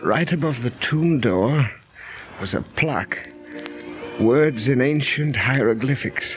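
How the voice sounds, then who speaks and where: An actor playing a defiant British lord and archaeologist in a theatrical, declaiming 1930s radio style. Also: male voice, mid-range voice, takes it slowly, faint music behind, lightly acted. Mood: weak, dying. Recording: muffled 1930s radio recording.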